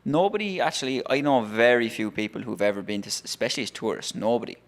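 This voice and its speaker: A man from Ireland, a guest telling travel stories in a relaxed, chatty way. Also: mid-range voice, quick pace. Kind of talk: casual anecdotes.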